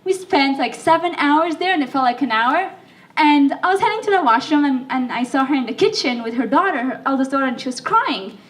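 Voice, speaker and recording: Young woman from Libya, a bit under the weather, chatty talk with fillers, high voice, distant mic. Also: lively intonation, brisk, a bit bright. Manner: chatty, self-deprecating, with fillers. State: sick. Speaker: speaker. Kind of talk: speech to an audience. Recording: voice from far off the mic.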